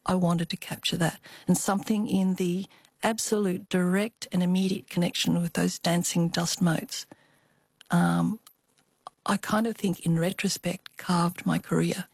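Older woman, Australian accent, lowish pitch, reflective storytelling, expressive, dry recording. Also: a little slow, creaky, breathy edge, no room sound. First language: Cantonese. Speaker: interview guest.

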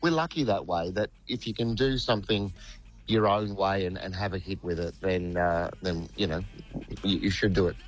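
A middle-aged man, a band's lead singer, talking in a relaxed, rambling way with lots of fillers. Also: deep voice, moderate pace, poor audio. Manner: relaxed, rambling, many fillers, says "mate". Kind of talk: phone or studio interview.

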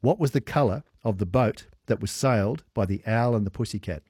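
Teasing Australian radio quiz host. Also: male voice, lowish voice, fairly quick, darkish tone, breathy edge, no echo, studio quality. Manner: jokey, teasing, laughs often. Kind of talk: casual on-air chat with callers.

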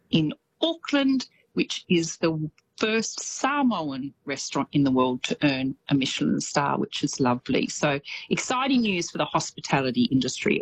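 Low-voiced Australian woman correspondent. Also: slight background noise, poor audio. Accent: Australian with slight New Zealand tinge. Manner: chatty, laughs often, upbeat. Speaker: New Zealand correspondent. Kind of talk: casual radio chat.

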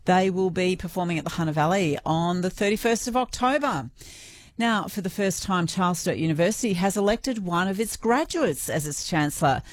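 A woman's voice: fairly low pitch, no echo. Woman reading radio news, dry studio.

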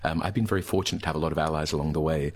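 A man's voice: very deep voice, fairly even intonation, racing. A man, an opera tenor, chatting as a guest on a radio interview, full of ums and restarts. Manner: hesitant, filler-heavy speech.

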